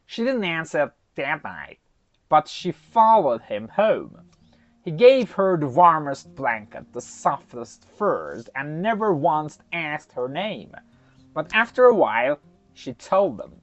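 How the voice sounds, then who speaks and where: A storyteller narrating a folktale in an expressive, dramatic way, with deliberate pauses. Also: mid-range voice, no echo. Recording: slightly muffled, soft music underneath.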